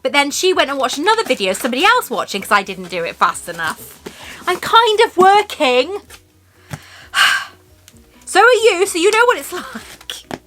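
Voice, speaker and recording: A woman's voice: high voice, big pitch swings, moderate pace, long pauses, pushed and bright, faint music behind. A woman with a Welsh accent hosting a chatty unboxing vlog, rambling, gushing and laughing often. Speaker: YouTube host.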